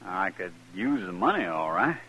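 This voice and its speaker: A humble young cowhand, American and plainspoken. His bright voice rises and falls freely in polite, earnest 1950s radio western style, with faint noise on the recording. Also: low voice, animated, normal speed. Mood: subdued, earnest. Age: young adult. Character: earnest, humble young cowboy done with guns. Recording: faintly noisy vintage radio drama recording.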